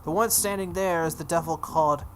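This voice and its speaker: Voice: mid-range voice, fairly quick, slight background noise, lightly acted. A let's play host voicing a smug, cruel young girl who taunts and commands in a visual novel. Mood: boastful. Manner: taunting, imperious.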